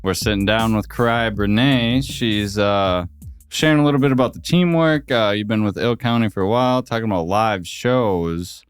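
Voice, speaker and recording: Casting: male co-host, low resonant voice, playful loose delivery, dry sound. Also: normal speed, clear, resonant tone, no echo, soft background music. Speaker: podcast co-host. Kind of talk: casual podcast chat.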